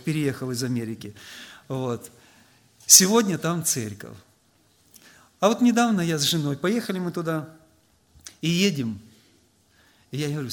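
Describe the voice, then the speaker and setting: Higher-voiced man preaching with anecdotes. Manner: conversational, anecdotal, frequent filler "vot". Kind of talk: storytelling sermon to a congregation.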